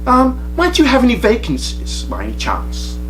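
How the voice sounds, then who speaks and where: A man's voice: extremely high pitch, wildly swooping pitch, moderate pace, pitched above the performer's own voice, full character voice, low-quality recording. A man putting on a prim, plummy posh gent. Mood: polite. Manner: exaggerated posh, prim phrasing. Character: plummy, overly formal Englishman, job-seeking. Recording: music playing underneath.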